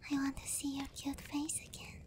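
A woman playing a seductive, doting, gently dominant giant demon queen in a soft, breathy whispered ASMR roleplay, teasing and coaxing, close to the microphone. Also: high-pitched, monotone, normal speed, lightly acted. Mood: tender, coaxing.

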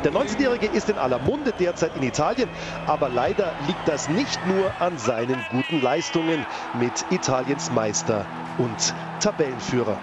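A man voices a sports news report with a fairly high, animated delivery, his pitch rising and falling through each sentence. The narration is read from a script in a booth, with music running underneath. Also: normal speed. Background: background music.

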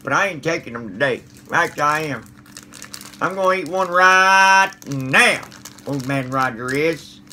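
A man's voice: fairly high pitch, a little slow, sharp tone, a little hiss or hum. A man playing a cranky, folksy old man who stammers and mutters mild curses at his viewers. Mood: grumbling. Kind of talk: comedic character monologue to viewers.

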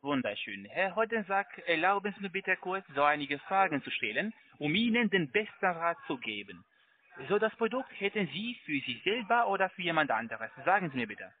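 A high-pitched man with non-native German makes a sales call over a tinny phone line. He is polite and effusive, following a loose script. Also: big pitch swings, normal speed, slight background noise. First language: not German. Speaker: phone salesman, product adviser.

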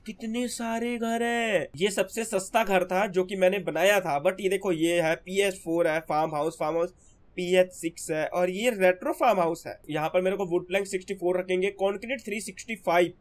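A hoarse young man with a sore throat, chatting casually as he narrates his gameplay to his viewers. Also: high-pitched, normal speed. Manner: chatty, keeps calling viewers brother. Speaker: gaming channel host.

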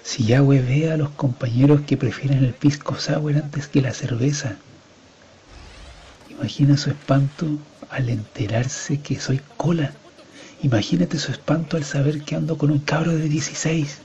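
A man's voice: fairly high pitch, steady tone, moderate pace, dark tone, lightly acted. A man with a Chilean accent playing a conflicted divorced father in a colloquial, confessional radio drama. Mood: firm, conflicted. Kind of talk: radio drama monologue acting. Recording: slightly dull, lo-fi sound.

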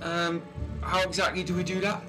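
A man's voice: high voice, little pitch movement, moderate pace, over music, natural voice. A man playing a vain, chatty ugly stepsister in a flippant pantomime dame manner.

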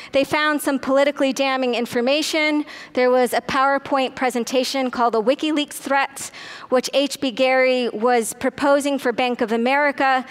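A middle-aged woman giving a conference keynote lecture on a hall stage, telling the story briskly with frequent ums and uhs. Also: high voice. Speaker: keynote speaker.

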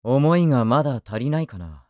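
A man voicing a gentle, eccentric young idol teacher in an anime voice drama, speaking courteously like a teacher. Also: medium pitch, very animated, dry recording, clean studio sound, close to an everyday voice. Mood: polite. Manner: courteous, measured teacherly address.

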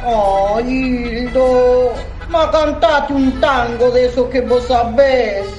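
A woman's voice: fairly high pitch, drawn-out words, a little mellow, lo-fi sound. A woman with an Argentine accent playing a proud, bossy, bickering family mother in a radio play. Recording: echoey room, music behind.

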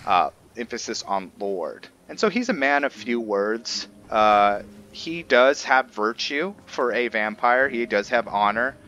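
A man giving casual, halting commentary as he explains his roleplay video game playthrough. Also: mid-range voice, animated, pushed and bright. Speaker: gamer narrating a roleplay playthrough. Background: faint game music.

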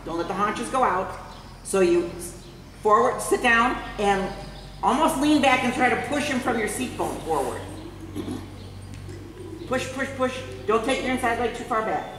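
A woman's voice: on the high side, lively intonation, normal speed, pauses often, fairly bright tone, recorded from a distance. A woman coaching a horse rider live, calling out short commands and often repeating them. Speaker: horse riding instructor. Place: large indoor riding arena. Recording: very echoey, reverberant hall with faint noise.

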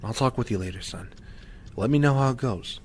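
A man's voice: mid-range voice, a little fast, music in the background, close to an everyday voice. A middle-aged man playing an earnest, encouraging father guiding his son in an audio drama.

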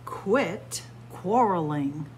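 A middle-aged woman reads aloud to young children, taking each word slowly and deliberately. Her voice rises and falls in lively, animated swoops. A faint hum sits under the close webcam sound of a small library room. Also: on the low side. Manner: slow, deliberate word-by-word reading with lively teacherly emphasis. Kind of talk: picture-book read-aloud for kids. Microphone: webcam or phone close up at arm's length.

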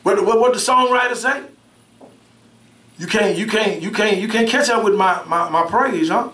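A middle-aged minister preaching a sermon, with call-and-response, repeated words and swings between shouts and soft asides. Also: male voice, high voice, normal speed. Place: church sanctuary, indoors, at a pulpit. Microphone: pulpit mic from a far camera. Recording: roomy church sound from far off.

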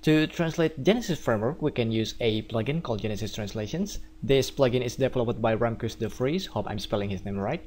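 A man from Indonesia with an Indonesian accent, walking viewers through a software tutorial step by step. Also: medium pitch, brisk, hardly any pauses. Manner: steady step-by-step explaining. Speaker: theme developer. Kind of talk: screencast tutorial.

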